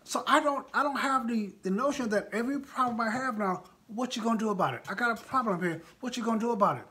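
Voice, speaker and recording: High-pitched older American man, emphatic. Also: fast, sharp tone. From United States. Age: 60s. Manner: emphatic, repeats phrases for effect. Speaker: live stream host and political speaker. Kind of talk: impassioned informal monologue to live viewers.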